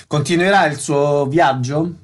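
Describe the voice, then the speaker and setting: A man's voice: on the high side, a little slow. A man hosting a radio programme, putting questions to guests and announcing shows. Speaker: radio host interviewer.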